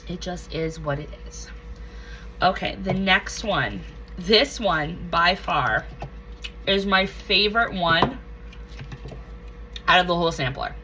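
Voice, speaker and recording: A woman's voice: fairly low pitch, sharp tone, a little hiss or hum, low-quality recording. A woman recovering from a cold, reviewing wax scents in a casual vlog, gushing and repeating herself. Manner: gushing, enthusiastic, repeats phrases.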